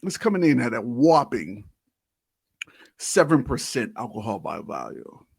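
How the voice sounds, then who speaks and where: A man's voice: mid-range voice, sing-song, measured pace, vocal fry, slightly rough, no echo. Casual man, close dry sound. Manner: informal, slangy, swears casually. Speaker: podcast co-host. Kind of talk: casual banter and beer tasting chat.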